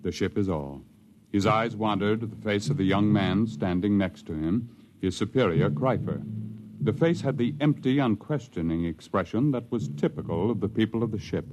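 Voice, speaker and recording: An actor narrating as a grave storyteller in a 1950s science fiction radio drama. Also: male voice, fairly low pitch, expressive, moderate pace, darkish tone, highs cut off, natural voice. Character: grave, omniscient male storyteller of a space tale. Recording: noisy old radio recording.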